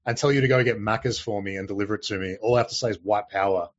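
Man, lowish voice, stream co-host, teasing, dry muffled audio. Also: rapid-fire, no room sound. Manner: teasing, with a chatty run of fillers. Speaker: livestream co-host. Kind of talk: casual livestream chat.

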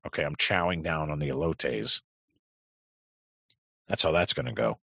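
Gravelly low-voiced male streamer. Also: moderate pace, sharp tone, dry recording. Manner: crude, rambling reactions to clips. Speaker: live streamer. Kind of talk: casual live-stream chat. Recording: dry, dull lo-fi sound.

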